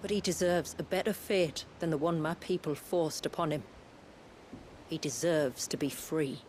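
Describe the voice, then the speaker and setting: An actress in a video game cutscene playing a proud, solemn envoy of a hidden tribe, speaking formally and with poise. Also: female voice, low voice, moderate pace, a little mellow, slight background noise, close to an everyday voice. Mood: earnest.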